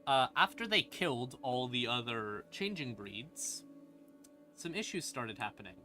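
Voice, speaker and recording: Male lore streamer, mid-pitched and sharp-edged, casual and sardonic, faint ambient music underneath. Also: big pitch swings, unhurried. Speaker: streamer explaining game lore. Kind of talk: casual, rambling lore explanation. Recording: music playing behind the voice. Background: creepy ambient music.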